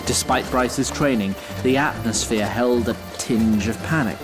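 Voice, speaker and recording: Fantasy drama narrator: male actor as a courtly, theatrical wood sprite, wry and wordy, mid-pitched, light music underneath. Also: unhurried, close to an everyday voice. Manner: formal, grandiloquent, theatrical.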